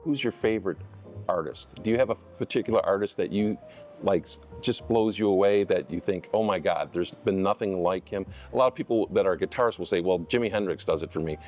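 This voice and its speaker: Male host of a local TV program, low voice, friendly and earnest interviewer. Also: fairly quick, a little mellow, faint music behind. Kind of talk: TV interview hosting.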